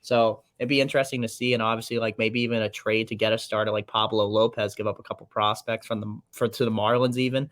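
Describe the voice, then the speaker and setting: A man co-hosting a casual sports podcast, talking in a rambling, monotone way. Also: on the low side, quick pace, few pauses, no echo, clean studio sound. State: slightly raspy throat. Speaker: podcast co-host.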